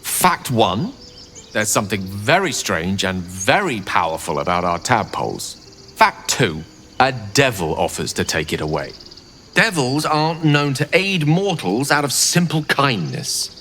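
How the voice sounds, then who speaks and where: Clever bookish wizard, wordy and theatrical, low swoopy voice, echoey with faint crickets. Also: male voice, sing-song, sharp tone, slight background noise, full character voice. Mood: shrewd deduction. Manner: erudite, theatrical, deliberate reasoning. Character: eloquent, shrewd wizard-like scholarly man in a fantasy adventure. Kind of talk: video game character dialogue.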